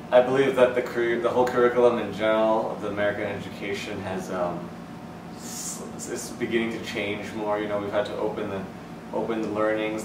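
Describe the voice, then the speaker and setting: A young man from the Philippines, a student, answering interview questions in a measured, formal way. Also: fairly low pitch. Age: young adult. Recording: echoey room, distant mic, clear hiss.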